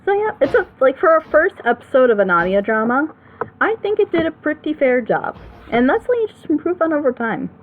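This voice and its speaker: A woman's voice: high voice, very animated, fairly quick. A woman hosting a group reaction show, chatting casually as she reviews an audio drama. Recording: noisy phone-like voice call.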